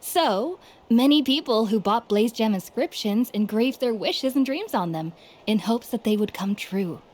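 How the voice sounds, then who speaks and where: A woman's voice: fairly high pitch, animated, measured pace, a little mellow, a little hiss or hum. A woman playing an amiable young townswoman in a video game, talking in a conversational, explanatory way. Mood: explaining. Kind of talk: video game character acting. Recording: game music behind. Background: game music.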